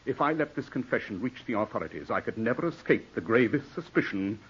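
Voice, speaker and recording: An actor playing a cold, haughty gentleman in a 1940s radio drama, snapping commands at his servant and guarding his reputation. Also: medium pitch, lively intonation, fairly quick, breathy edge. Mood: cold, resolute. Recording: muffled, dull old radio broadcast.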